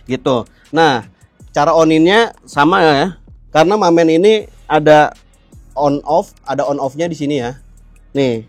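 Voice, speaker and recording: A young man with a Jakarta Indonesian accent and a slightly high voice walks through gear as a vlog host. He explains in a colloquial, casual way and often checks in with the viewer. Music plays behind him. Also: dry recording. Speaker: motovlog host.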